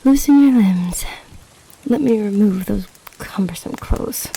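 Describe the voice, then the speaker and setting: A woman playing an imperious, amorous serpent empress in a fantasy audio drama, speaking formally and seductively in a breathy voice that often drops to a whisper. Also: mid-range voice, warm tone, slight background noise, natural voice, rough recording. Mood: seductive, longing. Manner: slow, commanding, formal, seductive. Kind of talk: romantic fantasy audio roleplay.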